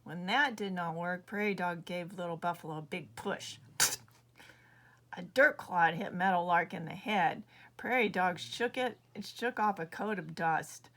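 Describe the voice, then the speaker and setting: A woman reading her own children's book aloud as an expressive storyteller. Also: lowish voice, unhurried.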